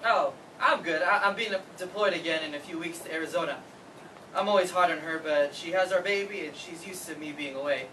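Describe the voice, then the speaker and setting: A man's voice: fairly high pitch, brisk, pushed and bright. A man playing a blunt soldier son around thirty in a staged play reading. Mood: earnest. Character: blunt thirty-year-old soldier son, organized, married younger brother. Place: theater stage, recorded from the audience. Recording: distant, noisy live theater recording.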